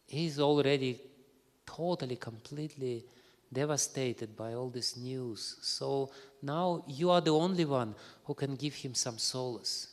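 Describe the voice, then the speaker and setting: A man voicing a gentle, dutiful young prince who calmly reassures his family before leaving for exile. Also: mid-range voice, close to an everyday voice. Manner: calm, earnest, reassuring. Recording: reverberant hall.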